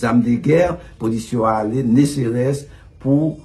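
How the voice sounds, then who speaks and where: A Haitian man in his fifties speaks as an official giving an interview over a video call. His voice is mid-pitched. He is deliberate and emphatic, repeating key points for weight. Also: warm tone. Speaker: police official being interviewed. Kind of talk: interview answers mixing Haitian Creole and French.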